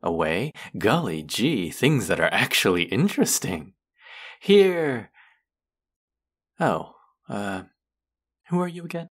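A man's voice: lowish voice, sing-song, takes it slowly, pauses often, husky, full character voice. A man playing a condescending, teasing young twin brother in an audio roleplay, with a mocking, drawling delivery aimed at the listener. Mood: scornful. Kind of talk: scripted roleplay addressed to listener.

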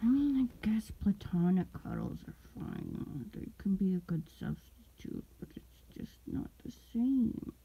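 A woman playing a sleepy, pouty young streamer roommate in an intimate ASMR roleplay, mumbling drowsily with drawn-out words and affection under the grumbling. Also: mid-range voice, animated, breathy edge, highs cut off, exaggerated voice. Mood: reluctant, pouty. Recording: close recording with background rustling noise. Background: fabric rustling.

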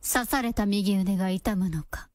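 A woman narrating a radio drama in a measured, scripted storytelling style. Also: mid-range voice, darkish tone, dry recording, studio quality.

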